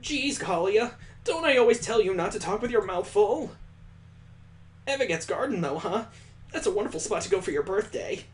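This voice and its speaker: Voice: mid-range voice, expressive, normal speed, voice pushed up, lightly acted. A let's player voicing a motherly, chatty schoolgirl who scolds her friends in a visual novel. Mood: chiding then chatty.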